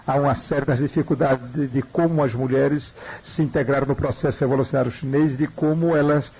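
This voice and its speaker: A man's voice: medium pitch, steady tone, a little fast, gravelly, a little mellow, slightly rough, rough recording. A man with a Pernambuco Brazilian accent, hosting a radio interview. He is engaged with his guest and keeps prompting her with questions. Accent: Pernambuco Brazilian Portuguese.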